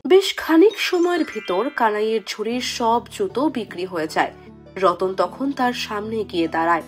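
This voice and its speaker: A woman's voice: mid-range voice, expressive, moderate pace, faint music behind. A woman storyteller giving scripted fairy-tale narration for a cartoon.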